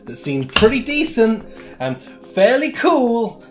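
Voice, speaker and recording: Man with very animated, swooping delivery. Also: very high-pitched, takes it slowly, over loud music. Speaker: vlogger reviewing novelty products. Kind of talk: casual product review to camera. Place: small room at home, indoors.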